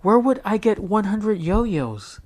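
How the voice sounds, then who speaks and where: A man's voice: high-pitched, sing-song, slow, darkish tone, voice pushed up, exaggerated voice. A man voicing a fretful young schoolgirl. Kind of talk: storybook read-aloud.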